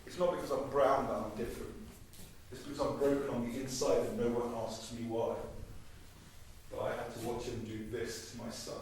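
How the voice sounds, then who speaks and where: A man's voice: medium pitch, level tone, brisk, lightly acted. An actor playing a furious father defending his son, brooding and bitter in a theatre monologue. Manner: bitter, brooding, bursting into rage. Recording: roomy stage, distant mic, faint hiss.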